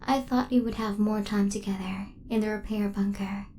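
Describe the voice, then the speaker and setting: A woman playing a devoted, protective female hunter robot in a soft-spoken ASMR roleplay, with formal, precise, machine-like phrasing. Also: mid-range voice, fairly even intonation, normal speed, slight background noise, lightly acted. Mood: soft, grave.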